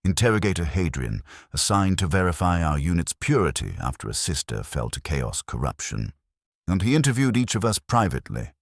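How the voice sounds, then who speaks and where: A man's voice: sits low, sing-song, a little fast, no echo, clean studio sound, heavily acted. A man voicing a hollow, faithless warrior nun, telling her grim life as scripted first-person story narration in detached, solemn, clipped sentences. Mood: bleak, detached.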